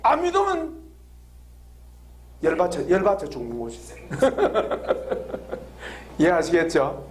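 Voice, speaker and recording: A man preaching a lively sermon, laughing often and drawing call-and-response answers from the congregation with frequent questions. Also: sits high, takes it slowly, long pauses. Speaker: pastor. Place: church hall with congregation.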